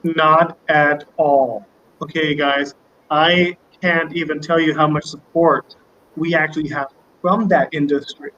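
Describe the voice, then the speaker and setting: A man with a fairly high voice talks as an interview guest. His delivery is conversational and emphatic, doubling back on words. The sound is slightly dull, with clear background noise. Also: fairly even intonation, a little slow, pushed and bright. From United States. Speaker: company co-founder, interview guest. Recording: muffled online call with background noise.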